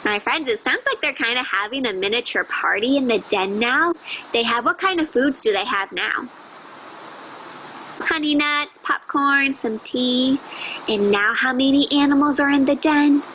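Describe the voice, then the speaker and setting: A woman's voice: high-pitched, sharp tone, slight background noise, rough recording. A woman reading a picture book aloud to young children, with exaggerated storytelling and simple questions to her listeners. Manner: exaggerated, drawn-out storytelling delivery with child-directed asides.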